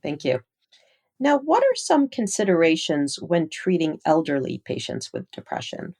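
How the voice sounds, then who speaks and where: A woman's voice: sits low, sing-song, moderate pace, a little mellow. A woman interviewing a medical expert, putting structured questions in a polite, orderly way. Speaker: interviewer, medical journal editor.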